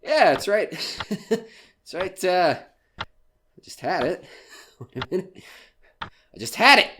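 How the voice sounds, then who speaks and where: A man's voice: sits high, big pitch swings, slow, long pauses, pushed and bright, a little hiss or hum, heavily acted. A man playing a desperate, nervous small-time comedian in an audio horror drama, stammering and pleading. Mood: frantic.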